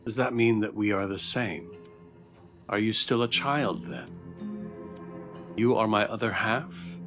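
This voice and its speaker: A man's voice: lowish voice, animated, measured pace, music in the background. A man voicing a confused, wronged spirit who wants answers, reading visual novel dialogue aloud in earnest. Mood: puzzled, questioning. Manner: questioning, earnest.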